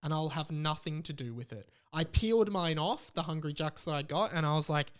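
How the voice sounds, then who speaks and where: A man's voice: on the high side, a little fast. An Australian man hosting a solo podcast in a casual, rambling and informal way, with exasperated asides. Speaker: podcast host.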